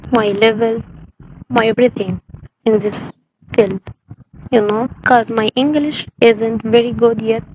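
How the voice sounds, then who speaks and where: Slow-spoken young Egyptian woman. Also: a little high, slight background noise, poor audio. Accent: Egyptian Arabic. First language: Arabic. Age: 20s. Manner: slow, careful learner's English with simple phrasing. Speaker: language-learning vlogger. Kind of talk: casual vlog talk to camera.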